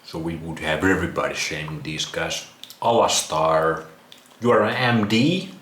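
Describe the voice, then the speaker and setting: A man's voice: rumbling low, normal speed, fairly bright tone. A man with a Finnish accent giving casual, sarcastic reaction commentary, mocking and crude in his wording. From Finland. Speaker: vlog host. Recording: roomy echo, some background noise.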